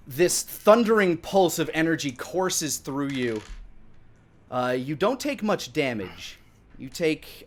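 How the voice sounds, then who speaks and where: A man's voice: fairly high pitch, a little hiss or hum. A man in his thirties running a tabletop game, narrating dramatically and theatrically as dungeon master. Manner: theatrical, with acted sound effects.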